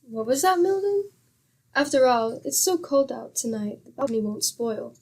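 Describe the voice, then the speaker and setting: A woman's voice: high voice, expressive, normal speed, a little mellow. A woman playing a patient, dryly teasing middle-aged wife in an old-time radio comedy, wry and chiding. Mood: curious.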